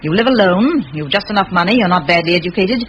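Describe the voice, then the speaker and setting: An actress as a brisk, blunt spinster schoolteacher, very animated. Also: female voice, on the low side, muffled recording, close to an everyday voice. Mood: blunt, candid. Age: middle-aged. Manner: bossy, forthright, rhetorical. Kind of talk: old radio play acting. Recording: hissy old radio play recording.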